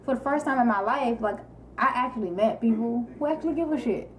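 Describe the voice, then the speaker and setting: A woman from Florida hosting a talk show, talking in a relaxed, slangy, storytelling way. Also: on the high side, fast talker, a little hiss or hum. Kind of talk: casual chat.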